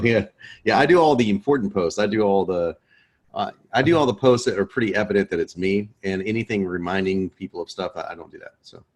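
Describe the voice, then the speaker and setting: A man hosting a course, joking in laid-back casual chat on a video call before the lesson. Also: low-pitched. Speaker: course host.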